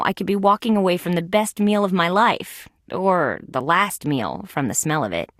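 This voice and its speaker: A woman playing a young, wry, determined female insect police detective in a scripted adventure video game, with conversational, clearly spoken patter. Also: on the low side, no room sound, clean studio sound.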